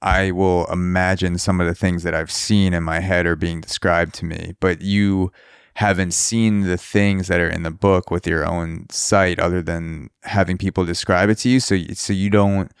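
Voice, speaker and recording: A young man hosts a podcast in a casual, rambling way, often circling back to rephrase what he is asking. His voice sits very low and sounds smooth and resonant. The sound is close and dry. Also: level tone, normal speed, dry recording. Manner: rambling, restarts questions often. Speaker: podcast host. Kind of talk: casual interview.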